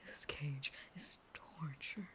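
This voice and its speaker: A woman playing a starving, unstable caged vampire who pleads with a lover in a breathy, intimate, dramatic whisper. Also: low-pitched, slow, pushed and bright, exaggerated voice. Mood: bitter, unhinged. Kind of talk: intimate whispered roleplay audio monologue.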